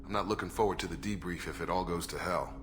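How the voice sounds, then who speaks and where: An actor playing a steady, proud ex-military crew officer in a video game, plain-spoken and matter-of-fact. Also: male voice, sits low, quick pace, music in the background, lightly acted. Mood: frank, measured. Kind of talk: video game character acting.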